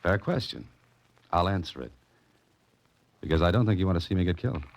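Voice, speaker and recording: A man's voice: low voice, animated, fairly quick. An American man playing a tough, wry adventurer-sleuth in a 1940s radio suspense drama, with a clipped, insistent delivery. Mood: wry, pressing. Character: tough, wry male adventurer-sleuth, narrating and pressing for answers. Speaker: lead actor and narrator. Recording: noisy, muffled vintage 1940s radio recording.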